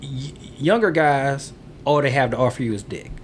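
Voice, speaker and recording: An older man hosting a podcast, chatting casually and making relaxed, reflective comments. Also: mid-range voice, normal speed, a little hiss or hum. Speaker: podcast host. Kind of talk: casual chat.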